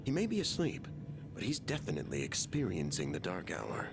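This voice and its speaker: Middle-aged mastermind, genial, measured, creaky voice, lively rise and fall, enigmatic, video game exposition. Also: male voice, low-pitched, exaggerated voice. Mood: calm musing. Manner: measured, musing, explanatory. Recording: loud music behind the voice.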